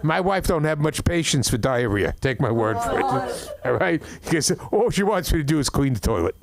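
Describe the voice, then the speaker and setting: A middle-aged man doing an open mic stand-up set, rambling through stories with stammered restarts and deadpan complaints. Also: on the high side, normal speed, vocal fry, crowd noise. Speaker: stand-up comedian. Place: comedy club open mic.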